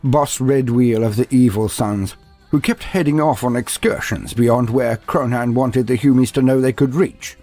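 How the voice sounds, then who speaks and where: A man's voice: mid-range voice, moderate pace, dark tone. A man narrating a fantasy story in a dramatic, theatrical, grandiose style with wry asides. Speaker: narrator of a fantasy lore video. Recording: music under the voice. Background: background music.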